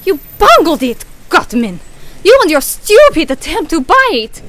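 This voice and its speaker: A woman voicing Cairo, a high-strung crook who shrieks insults, in a community radio play. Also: very animated, unhurried, a bit bright, heavily acted. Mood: furious.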